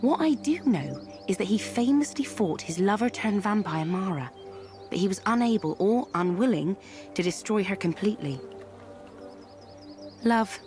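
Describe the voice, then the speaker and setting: An actress playing a wry, worldly herbalist and fortune-teller in a game cutscene, musing with a theatrical touch. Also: female voice, mid-range voice, lively intonation, normal speed, a little mellow, close to an everyday voice. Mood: musing, sardonic. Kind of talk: video game cutscene acting. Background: music.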